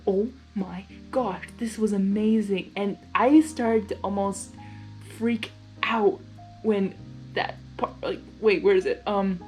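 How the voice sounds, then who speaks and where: Woman reading a story out loud, chatty and gushy with her own reactions, music playing behind her. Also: mid-range voice, slow, poor audio. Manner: informal, excitable, gushing in asides. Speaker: host reading fan fiction aloud. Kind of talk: storybook narration with casual reaction asides.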